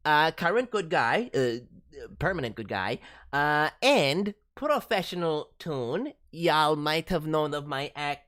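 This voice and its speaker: An excitable cartoon raccoon with a high voice that swoops up and down theatrically. He takes his time over each phrase and playfully mangles words as he hosts a livestream, every bit the reformed toon villain. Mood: bubbly, showy. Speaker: host, voice director reviewing demo reels. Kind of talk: livestream hosting in character as a cartoon VTuber.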